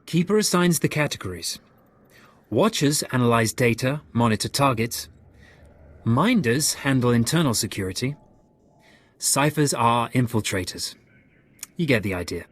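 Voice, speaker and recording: A nervous young male desk agent played by a man with a mid-pitched voice. He is awkward and apologetic, a timid analyst in a video game. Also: expressive, normal speed, long pauses, lightly acted. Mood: explaining.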